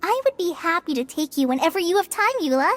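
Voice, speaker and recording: A woman's voice: extremely high pitch, expressive, quick pace, fairly bright tone, a little hiss or hum. An actress playing an earnest, kind teenage girl in an anime game, speaking encouragingly. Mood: friendly.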